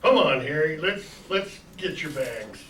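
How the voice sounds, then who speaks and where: A man's voice: a little high, very animated, unhurried, a bit bright. An older man playing Uncle Billy, a merry, muddled old uncle in an old-time radio drama. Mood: jolly. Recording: echoey room, distant mic, crowd noise.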